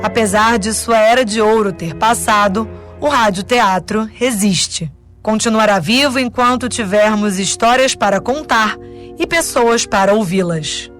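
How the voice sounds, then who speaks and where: A woman's voice: mid-range voice, a little slow, fairly bright tone, soft background music. A woman with a Brazilian accent reading scripted narration for a radio documentary.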